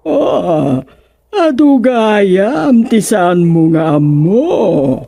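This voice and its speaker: A mocking guide to the afterlife from a comic radio serial. The delivery is teasing and drawn out to an extremely slow crawl. The tone is dark and the recording very dry. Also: mid-range voice, very animated, gravelly, dry recording, exaggerated voice. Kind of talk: comedic radio drama acting.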